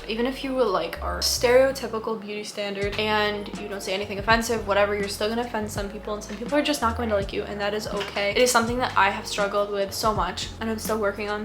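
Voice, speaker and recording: A 19-year-old woman giving advice in a casual vlog, chatting to viewers in an earnest, emphatic way and repeating words for stress. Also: medium pitch. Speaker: YouTube lifestyle vlogger and host. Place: indoor home filming setup by a window.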